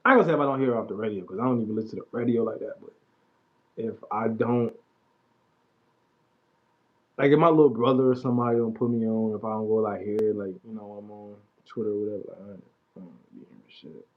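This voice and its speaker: A man's voice: fairly low pitch, quick pace. A man in his late twenties chatting casually on a livestream about music, laid back and slangy, trailing off into mumbled asides. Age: 20s. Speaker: livestream host. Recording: dull lo-fi stream audio.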